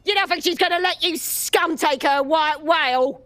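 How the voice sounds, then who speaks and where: A woman's voice: high-pitched, normal speed, a bit bright, dry recording. An actress playing a vicious, defiant female crime boss in a video game, with a British accent and a coarse, taunting manner. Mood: defiant, scornful.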